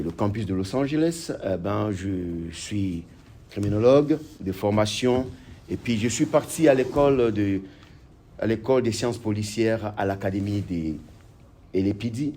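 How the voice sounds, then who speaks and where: A man's voice: fairly low pitch, a little hiss or hum. A man from Congo, a guest author and police officer answering interview questions with many fillers and restarts. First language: French. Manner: hesitant, many fillers and restarts, grows animated.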